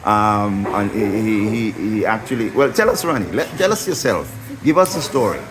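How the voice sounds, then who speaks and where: A man's voice: on the low side, animated, moderate pace, crowd noise. A man interviewing a couple, who often restarts his questions and repeats words. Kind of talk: news interview.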